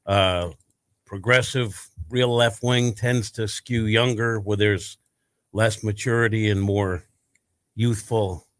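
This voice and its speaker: A man's voice: lowish voice, steady tone, slow, clear, resonant tone, no echo. A middle-aged man co-hosting a talk show, explaining his opinions at length. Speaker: co-host. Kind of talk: casual sports talk chat.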